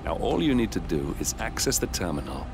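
An actor playing a calm, politely instructive male handler who guides an assassin remotely in a video game. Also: sits low, gravelly, very noisy, heavily acted. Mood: calm, guiding. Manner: measured, composed, politely instructive. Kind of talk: video game mission guidance dialogue.

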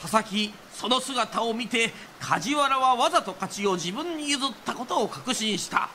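High-pitched theatrical male storyteller. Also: lively intonation, measured pace, noisy background. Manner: declamatory, theatrical, rhythmic. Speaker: kodan storyteller, narrator. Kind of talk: traditional kodan storytelling narration for radio drama. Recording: music under the voice.

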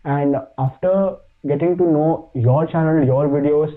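An Indian-accented man whose first language is Hindi talks casually as a guest, stopping often to search for words. His voice sits a little high. It comes through a thin, phone-like line. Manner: halting, many fillers and restarts. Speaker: podcast guest, fitness YouTuber. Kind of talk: casual chat.

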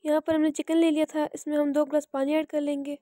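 A woman cooking host talks through a recipe in a quick, high voice that hardly moves in pitch. Her tone is soft and mellow, and the recording is dry and close, with no room around it. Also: flat delivery, no echo. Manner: plain, repetitive instructional narration. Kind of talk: step-by-step recipe voice-over.

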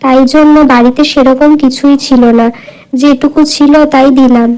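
A woman's voice: high-pitched, fairly even intonation, normal speed, a little mellow, natural voice. A woman playing a poor, kindly village wife in a cartoon moral tale, speaking politely and earnestly. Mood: gentle, reassuring.

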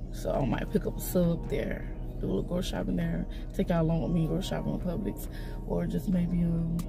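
A woman's voice: lowish voice, vocal fry. A woman, chatting to her phone camera from a parked car. She sounds congested and rambles, with lots of fillers and restarts. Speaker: vlogger. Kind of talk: casual vlog chat to camera. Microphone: phone camera held close.

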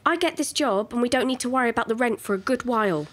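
A woman's voice: fairly high pitch, animated, quick pace, a bit bright. An actress playing a demanding, insecure young woman who wants reassurance, in a naturalistic audio drama. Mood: bossy. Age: young adult. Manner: insistent, needy.

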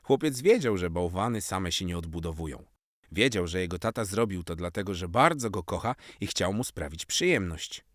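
Male children's storyteller, lowish voice, lively expressive intonation, dry close recording. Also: moderate pace, no room sound.

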